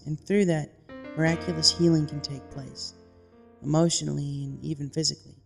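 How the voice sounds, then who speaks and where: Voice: mid-range voice, gravelly, big-room sound, natural voice. A narrator voicing an earnest young female pegasus reading out heartfelt storybook letters.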